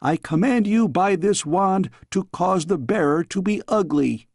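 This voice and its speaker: Man voicing a melodramatic young princess playing witch, gravelly. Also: sits high, expressive, a little slow, a little mellow, no room sound, studio quality, pitched above the performer's own voice, exaggerated voice. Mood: theatrical, commanding. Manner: crying out spells, muttering crossly. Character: girl princess playing evil witch, dramatic, petulant.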